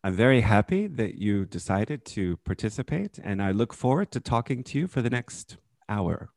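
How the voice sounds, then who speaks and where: A man's voice: fairly low pitch, sing-song, moderate pace, a little mellow, no room sound, studio quality. A man hosting a podcast interview, asking his student friendly, encouraging questions. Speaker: podcast host, English teacher.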